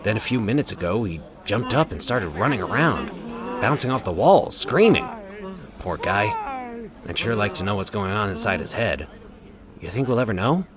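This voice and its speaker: A man's voice: lowish voice, lively intonation, moderate pace, a crowd in the background, lightly acted. A man playing a casual, curious, sympathetic asylum attendant in a dramatic story reading. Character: plain-spoken, curious male asylum attendant.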